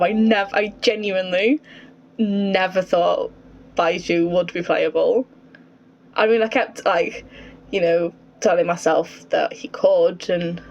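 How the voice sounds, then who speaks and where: A woman's voice: lowish voice, a little mellow, muffled recording. A woman game streamer giving casual, emotionally invested live reactions, talking half to herself as she plays. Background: game music.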